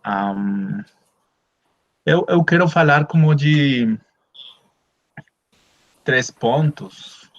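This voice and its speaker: A man from Colombia, a Spanish native speaker, giving an academic talk in a second language over a video call, hesitant with many drawn-out fillers. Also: mid-range voice, animated, no room sound. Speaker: guest speaker, anthropologist. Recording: dull lo-fi video call audio.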